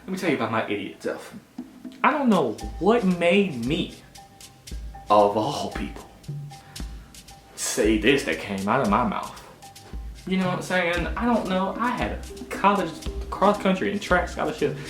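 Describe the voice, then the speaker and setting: Tell your own story as a self-mocking American man, pitch jumping freely, acting out each exchange, in an echoey room over music. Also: a little slow, long pauses. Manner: expressive, self-mocking, acts out dialogue. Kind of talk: casual animated personal storytelling. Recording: roomy echo with music playing behind.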